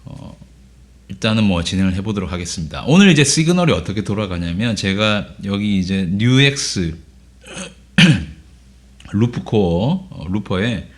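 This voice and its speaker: A man with a hoarse voice from a cold, casually explaining guitar pedals in a relaxed way, with frequent filler sounds. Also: on the low side, unhurried. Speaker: guitar teacher. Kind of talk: casual gear demo lesson.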